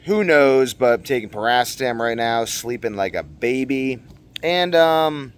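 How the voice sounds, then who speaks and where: A young man talking casually to camera in a rambling, slangy vlog monologue, animated and given to acting out what people said. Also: medium pitch, a little slow, clear, resonant tone. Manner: animated, exclamatory, slangy, acts out quotes. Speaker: vlogger.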